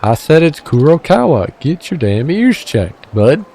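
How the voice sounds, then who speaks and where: A man's voice: a little high, very animated, measured pace, mellow, pitched above the performer's own voice, exaggerated voice. A man voicing a brash, wheeling-dealing male fixer with a shaky attempt at a Minnesotan accent, pushy and threatening on the phone. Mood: bullying.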